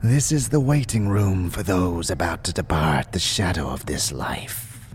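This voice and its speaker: A man playing an ancient, weary old scribe in an audio drama, speaking solemnly and cryptically with a breathy, ragged wheeze. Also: low-pitched, slight background noise. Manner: slow, solemn, halting delivery.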